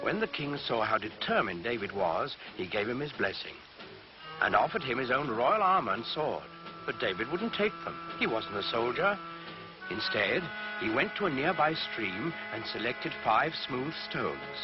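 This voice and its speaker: A man narrating a scripted children's Bible story in a measured, theatrical, emphatic storytelling manner. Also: mid-range voice, very animated. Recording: dull lo-fi recording, loud music behind. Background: loud music.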